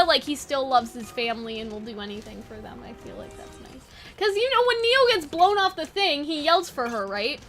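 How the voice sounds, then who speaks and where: Casting: woman host, high-pitched and bright, casual and animated, rambling off the cuff, playfully dismissive. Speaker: video host. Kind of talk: casual brainstorming chat.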